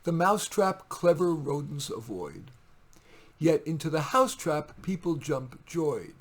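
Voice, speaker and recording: Man, slightly high-pitched, husky, wry poetry reading, informal asides. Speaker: author reading his own poems.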